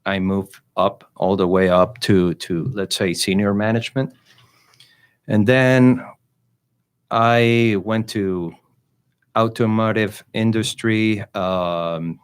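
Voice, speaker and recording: A man's voice: fairly low pitch, clean tone. A middle-aged man from Venezuela with a Venezuelan Spanish accent, a native Spanish speaker, talking hesitantly with many fillers as an interview guest. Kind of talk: interview conversation.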